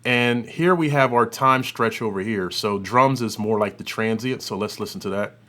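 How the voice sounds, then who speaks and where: Guy with a lowish voice walking thru audio software, off the cuff, kinda thinking out loud. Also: normal speed, a little hiss or hum. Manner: unscripted, thinking aloud while working. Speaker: host of an audio software tutorial. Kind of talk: informal demonstration and review commentary.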